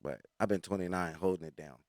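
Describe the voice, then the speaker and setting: Lower-voiced man, boastful and teasing. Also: fast, no echo, studio quality. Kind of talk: casual chat.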